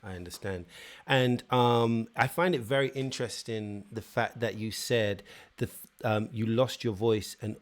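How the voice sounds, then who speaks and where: A man hosting an interview and asking questions in a hesitant way, with many pauses and restarts. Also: fairly low pitch, steady tone. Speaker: interview host. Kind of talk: casual interview.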